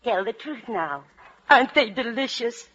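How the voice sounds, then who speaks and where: A woman's voice: a little high, lively intonation, a little slow, fairly bright tone, husky, exaggerated voice. An actress playing a fussy, motherly landlady in a 1940s radio comedy, exclaiming and coaxing. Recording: noisy, dull vintage radio recording.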